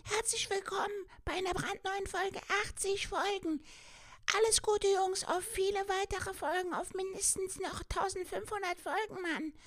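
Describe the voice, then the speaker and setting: A man voicing a cheeky teenage boy whose voice is breaking, jumping from squeaky to deep. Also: fairly even intonation, normal speed, a bit bright. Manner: voice cracking between squeaky and deep. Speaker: greeting the podcast.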